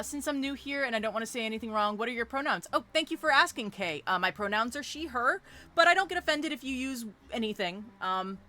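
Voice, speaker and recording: A sick woman streaming a video game, chatting and reacting easily startled, her voice deeper than usual from illness. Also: bright, forceful tone. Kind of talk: let's play commentary.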